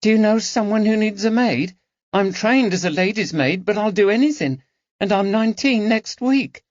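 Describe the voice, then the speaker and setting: Man doing a shy young housemaid in an audiobook, high lifted voice, dull dry sound. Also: normal speed, a little mellow, no echo, lightly acted. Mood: anxious. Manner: hesitant, plain-spoken working-class speech. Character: timid nineteen-year-old dismissed lady's maid.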